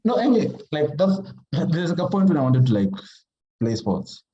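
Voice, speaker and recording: A man from Kenya, a writer talking casually as a podcast guest in a halting, thoughtful way full of fillers. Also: on the high side, sing-song, dark tone, dull audio. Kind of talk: casual conversation.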